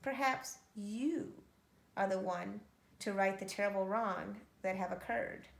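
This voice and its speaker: A woman voicing a kindly, sorrowful old woman telling her tale gravely in a storybook. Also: medium pitch, measured pace. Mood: solemn. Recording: echoey room.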